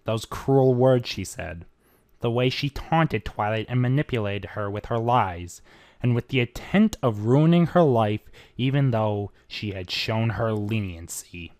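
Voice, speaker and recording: Animated amateur narrator, mid-pitched voice. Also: measured pace, no echo, studio quality. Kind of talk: dramatic scripted narration of fan fiction.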